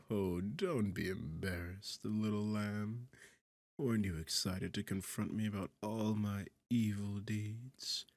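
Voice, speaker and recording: A man voicing a smug, sarcastic demon king in an ASMR roleplay, with a husky, gravelly low voice and a mocking, theatrical delivery. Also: slow, darkish tone, dry recording. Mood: amused, teasing. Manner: mocking, teasing, condescending, theatrical. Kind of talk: ASMR roleplay audio drama.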